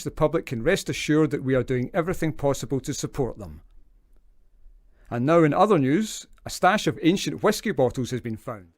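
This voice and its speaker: An actor playing a serious radio news reporter, reading and interviewing in a formal, measured broadcast style. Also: male voice, on the high side, a little mellow, dry recording, clean studio sound, natural voice. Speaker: newsreader. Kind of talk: scripted broadcast news delivery in audio drama.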